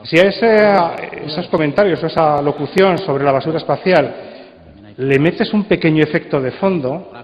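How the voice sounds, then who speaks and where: A man's voice: a little high, normal speed. A man with a Spanish accent giving an informal conference talk, conversational and putting rhetorical questions to his listeners. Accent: Spain. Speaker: conference speaker on radio drama. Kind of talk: informal spoken presentation. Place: large conference hall. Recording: muffled, echoey hall sound.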